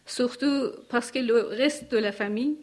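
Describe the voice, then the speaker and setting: A woman in her forties from Iran with a Persian accent, reading her testimony from notes at a church gathering in a careful, measured way with pauses. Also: fairly high pitch. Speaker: speaker giving testimony.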